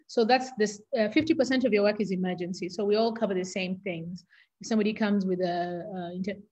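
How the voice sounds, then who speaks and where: A middle-aged woman from Kenya speaks with a Kenyan accent as an expert guest. She has an articulate, explanatory manner, conversational and at a medium pitch. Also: a little mellow, lo-fi sound. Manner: articulate, explanatory, defines medical terms. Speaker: neurosurgeon interview guest.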